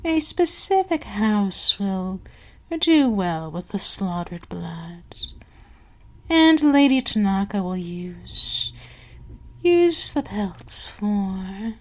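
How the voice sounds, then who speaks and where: An imperious vampire queen played by a woman speaks at a very slow, deliberate pace in a mid-range voice. She is seductive and menacing, haughty and used to being obeyed, and lets words sink toward a whisper in intimate ASMR roleplay style. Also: mid-range voice. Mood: smug, gloating. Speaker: voice actor in audio roleplay.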